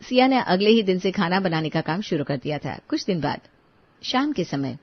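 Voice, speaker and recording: A woman's voice: fairly low pitch, sing-song, moderate pace, dry recording, highs cut off. A woman storyteller reading scripted moral-story narration.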